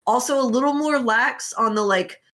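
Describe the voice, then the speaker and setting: A woman's voice: on the high side, normal speed, a bit bright. Woman, podcast host, informal, sweary, giggly, casual retelling and commentary.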